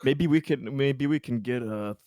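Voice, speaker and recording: A man chatting casually as a player in a tabletop roleplaying game, speaking in a halting, hesitant way. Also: medium pitch, a little mellow, dry recording, studio quality. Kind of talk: casual, joking game chat.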